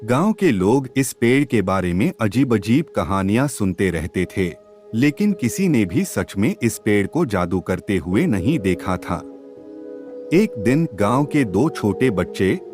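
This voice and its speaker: A man narrating a scripted children's story in a measured, expressive storybook manner. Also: fairly low pitch, faint music behind.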